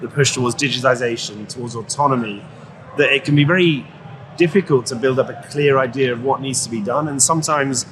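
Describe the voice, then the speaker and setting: Articulate male executive at a trade show. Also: mid-range voice. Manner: measured, articulate. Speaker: company CEO, guest. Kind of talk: explanatory interview answers. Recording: live event with clear background noise. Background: show-floor ambience.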